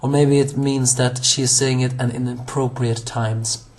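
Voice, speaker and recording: A hoarse young man reviewing an anime episode on his own, rambling with many fillers, restarts and self-corrections. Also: mid-range voice, little pitch movement, moderate pace.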